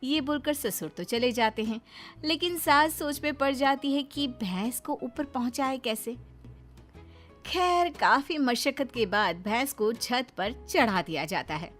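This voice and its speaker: A woman's voice: high voice, animated, unhurried, fairly bright tone, faint music behind. A woman storyteller delivering scripted story narration.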